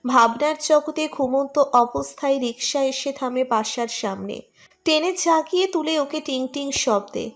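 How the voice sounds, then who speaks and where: A woman's voice: high voice, a bit bright. A woman reading a scripted romantic story as narrator.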